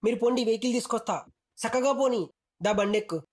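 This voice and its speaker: Woman, Telugu accent, fairly high voice, very quick, punchy game callouts, slangy, bossy, teasing. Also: a little mellow. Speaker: game voice pack speaker.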